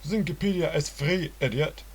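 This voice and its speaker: A man's voice: fairly high pitch, moderate pace, voice pushed up, close to an everyday voice. A man voicing a scornful, derisive online encyclopedia user in a storybook reading. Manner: jeering, derisive. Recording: hissy home recording.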